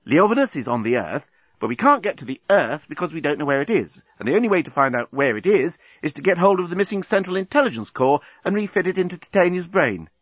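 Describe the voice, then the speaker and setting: A man's voice: on the high side, big pitch swings, fast talker, fairly bright tone, husky, no echo, muffled recording, pitched above the performer's own voice, exaggerated voice. A man playing a plain-spoken, matter-of-fact young Earth woman in an audiobook. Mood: brisk, resigned.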